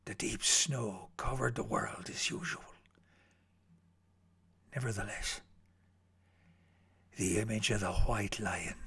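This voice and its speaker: A male reader plays an eccentric Arctic explorer uncle telling his adventures from a storybook. The voice is gravelly and forceful, and unhurried. He relishes words theatrically, swinging between hushed and urgent. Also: medium pitch, voice pushed down, heavily acted. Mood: hushed, ominous. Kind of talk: dramatic storybook reading.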